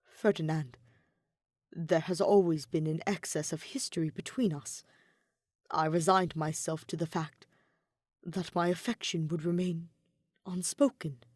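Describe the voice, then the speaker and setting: A woman's voice: low-pitched, fairly even intonation, unhurried, long pauses, darkish tone, no echo. A woman voicing a guarded, dour nobleman spymaster with a formal, dry, restrained manner in a story reading. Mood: subdued, vulnerable.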